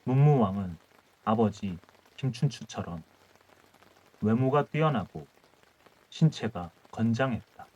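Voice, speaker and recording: Calm male bedtime storyteller. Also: fairly low pitch, highs cut off. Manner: measured, phrase-by-phrase reading. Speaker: audiobook narrator.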